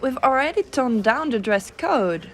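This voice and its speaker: A woman playing a gentle, teasing giantess secretary in an ASMR roleplay, coaxing and cooing softly. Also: sits high, lively intonation. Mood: doting, teasing. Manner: soft coaxing, cooing, unhurried.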